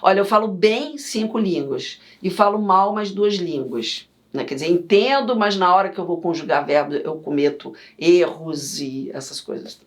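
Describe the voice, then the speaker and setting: A middle-aged woman from Yugoslavia, chatting as an interview guest and telling stories in an animated way. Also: lowish voice, normal speed, few pauses. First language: Serbian. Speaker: guest, vocal coach. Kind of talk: casual interview chat.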